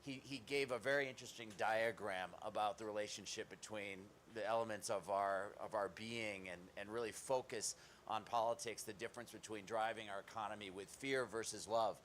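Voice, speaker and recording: A man's voice: lowish voice, steady tone, brisk, few pauses, fairly bright tone, a little hiss or hum. A man from the United States speaking on a conference panel, upbeat with some fillers.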